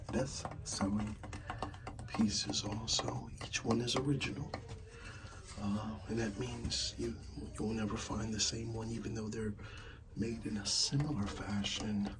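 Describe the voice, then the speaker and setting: Slow, soft-spoken ASMR man. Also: lowish voice, little pitch movement, far from the mic, noisy background. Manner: hesitant, unhurried, pausing mid-phrase. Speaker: ASMR performer playing an art gallery dealer. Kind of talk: soft-spoken ASMR roleplay, partly whispered.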